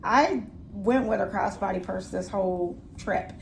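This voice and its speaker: A woman's voice: medium pitch, a little slow, gravelly, a little hiss or hum, rough recording. A woman in her forties, a vlogger chatting casually and reflectively with her sister, often trailing off mid-sentence. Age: 40s. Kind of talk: casual chat.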